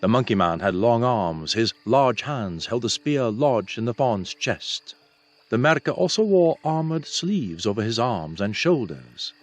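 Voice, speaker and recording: A man's voice: medium pitch, big pitch swings, measured pace. A man reading a fantasy novel aloud as scripted audiobook narration.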